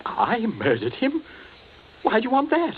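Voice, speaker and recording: An actor playing a nervous, cornered army captain in a radio drama, stammering with fear. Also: male voice, very high voice, wildly swooping pitch, measured pace, a little hiss or hum, exaggerated voice. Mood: anxious. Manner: stammering, frightened. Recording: dull old radio drama recording.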